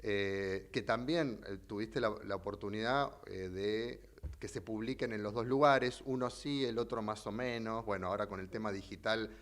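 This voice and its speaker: A man hosting an interview in a relaxed, jokey way, steering the questions. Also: lowish voice, normal speed. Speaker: host. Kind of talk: casual interview talk.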